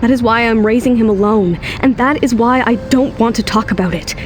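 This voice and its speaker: A woman's voice: on the high side, brisk, a little hiss or hum, lightly acted. A woman acting a guarded, protective young single mother in an audio drama, with an emotional, defensive manner. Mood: guarded, regretful. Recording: music under the voice. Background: background music.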